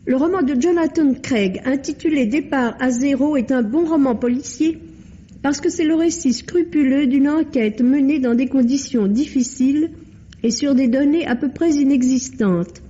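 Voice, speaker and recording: A woman's voice: high voice, dark tone. A woman giving a scripted book review on 1950s radio, with formal, precise phrasing. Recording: dull, faintly noisy vintage radio recording.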